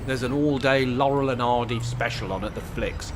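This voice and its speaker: Radio play: cheeky, cocksure older male rascal male actor, mid-pitched, dry teasing delivery. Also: moderate pace, background noise, natural voice. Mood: cocky, mischievous. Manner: wry, teasing, feigned innocence. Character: mischievous, cocky older male care-home resident in a wheelchair. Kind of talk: amateur radio play acting.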